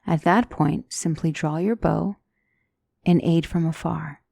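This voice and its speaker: A woman playing a gentle demonic tutor in a scripted ASMR roleplay, speaking softly and deliberately as a calm battle mentor. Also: low voice, vocal fry, no room sound, clean studio sound, full character voice. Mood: calm, instructive. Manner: measured, deliberate, soft-spoken, instructive.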